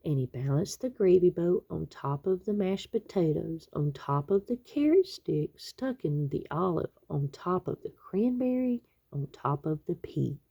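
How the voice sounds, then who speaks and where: A woman reading a children's picture book aloud expressively, with dramatic, drawn-out emphasis on key words. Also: on the low side, lively intonation, creaky, warm tone, breathy edge. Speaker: children's story reader.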